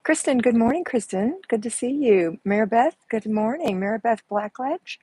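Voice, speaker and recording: A soft-spoken woman, hosting a casual live chat and answering viewers like a chatty, motherly coach who calls them honey. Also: a little high, animated, normal speed, slightly rough, no room sound. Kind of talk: casual live-stream chat reading comments. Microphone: earbuds with mic.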